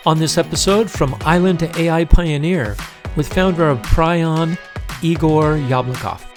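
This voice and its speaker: A man's voice: fairly high pitch, unhurried, music in the background. A man hosting a podcast, opening with a scripted intro and then chatting in an upbeat, conversational way. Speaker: podcast host. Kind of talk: scripted show intro, then casual interview.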